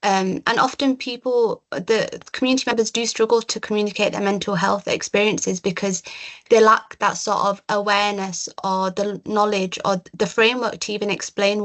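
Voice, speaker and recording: A young woman whose first languages are Urdu and Punjabi, giving a measured slide talk as a community mental health worker, with frequent ums. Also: mid-range voice, a bit bright. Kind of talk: presentation with slides.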